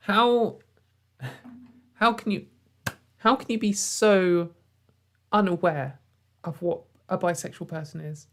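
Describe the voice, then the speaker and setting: A woman's voice: on the low side, slow pace, long pauses, a bit bright. A woman hosting a casual commentary video from home, sarcastic and exasperated, with frequent sighs and fillers.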